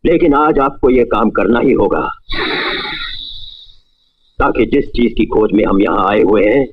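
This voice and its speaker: Man acting as sinister snake-charmer guru, slow husky delivery, pompous, melodramatic film spoof, muffled sound. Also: on the low side, expressive, heavily acted. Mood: ominous invocation. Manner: pompous, ominous, drawn-out pronouncements. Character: sinister, imperious male snake-charmer holy man with grandiose menace. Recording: dull lo-fi sound with music underneath.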